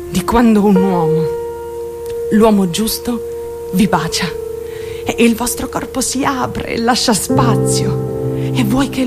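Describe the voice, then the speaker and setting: An actress playing a naive, lovestruck, wounded teenage girl in an intimate radio drama monologue, confiding directly in her listeners with dramatic pauses. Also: mid-range voice, lightly acted. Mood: dreamy, rapturous. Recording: music under the voice. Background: music.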